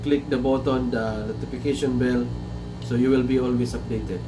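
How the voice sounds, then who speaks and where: A man's voice: medium pitch, steady tone, moderate pace, distant mic, over music. A man in his thirties with a Filipino English accent, a chef hosting a casual livestream, chatty and repeating himself, slipping into Filipino now and then. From Philippines. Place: small room indoors. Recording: noisy room with air conditioner hum. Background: air conditioning hum.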